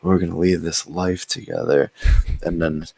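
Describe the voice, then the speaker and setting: A man's voice: low-pitched, fairly even intonation, normal speed, vocal fry, darkish tone, breathy edge, no room sound. A man co-hosting a comedy podcast, chatting casually and telling rambling stories. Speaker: podcast co-host.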